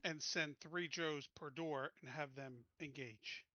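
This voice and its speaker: A man's voice: on the high side, fairly even intonation, slow, pushed and bright, dull audio, slight background noise. A man playing a tabletop game, talking casually and tersely as he lays out plans in a matter-of-fact way. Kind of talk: casual game planning talk.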